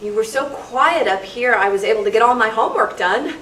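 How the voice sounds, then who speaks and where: A distant, echoey live radio play recording. A woman plays a teenage girl babysitter with animated intonation. She is exasperated and bossy, yet caring. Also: fairly high pitch, brisk. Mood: placating. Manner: scolding, exasperated, placating. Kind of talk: live script read of a spooky radio play. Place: club meeting room, live reading. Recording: roomy distant microphone, noisy meeting room.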